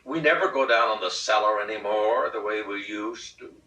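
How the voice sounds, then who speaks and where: A man's voice: fairly low pitch, moderate pace, sharp tone, voice pushed up. A man playing a peevish, childishly insistent husband in a comic short-story reading, pleading and exasperated with heavy emphasis. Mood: coaxing. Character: peevish, childishly insistent middle-class husband plotting murder. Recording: roomy, distant rehearsal recording.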